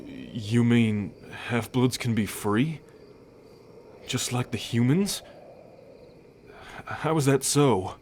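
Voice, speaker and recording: A man playing a wary, wounded half-blood bull man in an ASMR roleplay, speaking in a halting, guarded way. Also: medium pitch, darkish tone, slight background noise. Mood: doubtful. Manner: halting, guarded, hesitant delivery. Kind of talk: ASMR audio roleplay acting.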